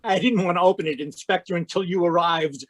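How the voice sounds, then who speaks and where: A woman's voice: lowish voice, brisk, natural voice. A woman playing an anxious husband protesting his innocence in old-time radio mystery style. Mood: uneasy. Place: live video call at home. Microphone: video call.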